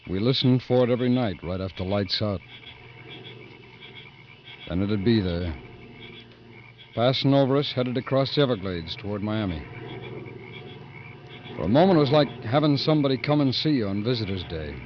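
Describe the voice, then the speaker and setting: A man's voice: fairly low pitch, expressive, normal speed, long pauses, a little hiss or hum, natural voice. An actor playing a wistful, folksy road-gang convict who narrates his story in a 1950s radio drama. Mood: wistful, reflective. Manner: reflective, folksy ungrammatical speech. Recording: dull 1950s radio recording with music behind.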